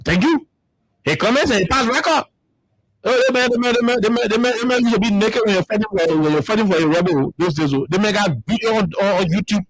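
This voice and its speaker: High-voiced Liberian man, emphatic, dry sound. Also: expressive, fast talker, no echo, poor audio. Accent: Liberian English. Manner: emphatic, repeats words while searching for them. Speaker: radio talk show host. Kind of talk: heated political commentary. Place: radio studio.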